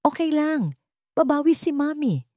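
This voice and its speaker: A woman's voice: high-pitched, big pitch swings, unhurried, mellow, no room sound, studio quality, voice pushed up. A woman with a Filipino accent voicing an anxious, pleading young mother in an audio love story. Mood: tense reassurance. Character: frightened, apologetic young mother guarding her secret.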